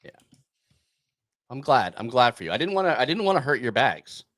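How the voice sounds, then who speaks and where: A man's voice: medium pitch, big pitch swings, brisk, a bit bright. A man hosting a casual crypto podcast, laid-back and hesitant, with frequent ums and uhs as he talks. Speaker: podcast host.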